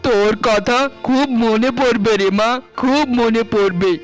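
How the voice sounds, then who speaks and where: A man portrays a doting, humble middle-aged father in cartoon melodrama. The voice is high and smooth with a placating manner. There is faint music behind it. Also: a little slow. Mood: sorrowful.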